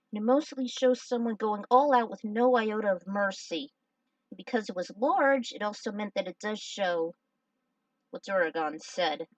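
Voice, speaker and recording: A woman reading fan fiction aloud over gameplay, switching between halting narration and chatty asides. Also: mid-range voice, no room sound, low-quality recording. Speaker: fan fiction reader and game player.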